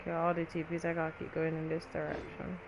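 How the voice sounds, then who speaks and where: Low-pitched woman casually commenting on gameplay. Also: monotone, quick pace, background noise. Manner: informal, reactive, talking to herself. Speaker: gaming video creator.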